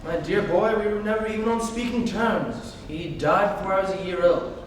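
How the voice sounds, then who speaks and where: A young man playing a flippant, nonchalant gentleman in a dramatic reading of a stage comedy. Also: high-pitched, normal speed, close to an everyday voice. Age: young adult. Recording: echoey hall from far off, noisy.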